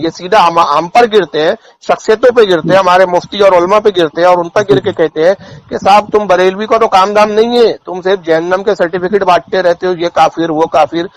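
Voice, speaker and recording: High-pitched, scornful cleric. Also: male voice, rough recording. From India. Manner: scornful, indignant, insulting, with rhetorical questions. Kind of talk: polemical religious talk, off the cuff.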